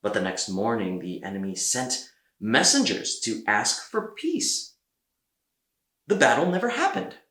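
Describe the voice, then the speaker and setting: Male storyteller for kids' read-aloud, expressive and dramatic, bright voice, lively pitch, roomy sound. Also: a little slow. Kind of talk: animated children's read-aloud narration.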